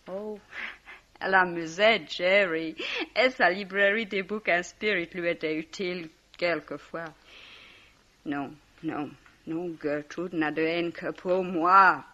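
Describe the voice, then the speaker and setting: A woman's voice: sits low, pushed and bright, highs cut off, full character voice. An actress playing a bitter, self-pitying, drunken young woman with a slurred, theatrical delivery in a 1950s-style French radio mystery.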